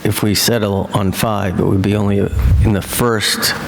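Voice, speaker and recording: A man on a city council checking his understanding slowly and carefully. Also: on the low side, little pitch movement, vocal fry, slight background noise. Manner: slow, careful clarifying. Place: council chamber.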